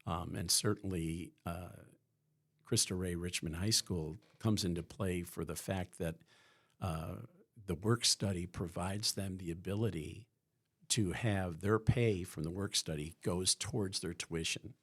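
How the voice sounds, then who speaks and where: A man's voice: deep voice, fairly even intonation, a little slow, a bit bright, husky. A man from the Chicago area, a podcast guest from a school's staff, talking in a rambling way with many restarts. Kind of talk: conversational podcast interview.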